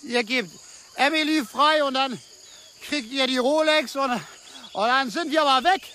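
An actor playing a desperate scam victim in a radio play, agitated and rambling with halting repetitions. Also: male voice, extremely high pitch, pushed and bright, slight background noise, exaggerated voice. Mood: bitter, bargaining. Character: desperate German scam victim, pleading and babbling in the bush.

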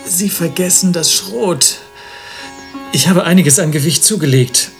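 An actor playing a fussy, verbose eccentric in a satirical radio drama, speaking in an affected, precise way. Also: male voice, high-pitched, unhurried, vocal fry, faint music behind. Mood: fussy, rueful.

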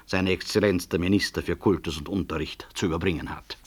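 Deep, husky, proud professor. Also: male voice, fairly even intonation. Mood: cool, ironic. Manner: measured, dry irony, firm. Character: proud, upright male professor of medicine, ironic and unyielding. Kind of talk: 1960s German radio play acting.

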